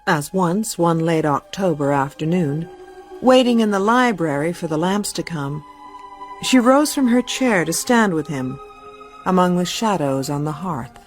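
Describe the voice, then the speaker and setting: Low, expressive literary lady narrator. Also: soft background music. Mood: wry, brooding. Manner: measured, literary, deliberate. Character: Edith Wharton as wry, literary lady narrator. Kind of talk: dramatic scripted narration for radio.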